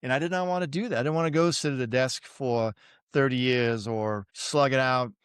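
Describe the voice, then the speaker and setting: A man's voice: medium pitch, steady tone, a little fast, fairly bright tone, smooth. A man in his fifties with a Boston accent, a retired Navy pilot telling his story as a podcast guest in an easygoing, self-deprecating way with many fillers. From suburban Boston, USA. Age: 50s. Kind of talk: casual interview storytelling.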